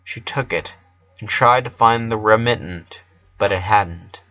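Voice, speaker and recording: Halting male story narrator, dull lo-fi sound. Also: fairly low pitch, bright, forceful tone. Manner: halting, stumbles over the text. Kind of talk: amateur scripted story narration.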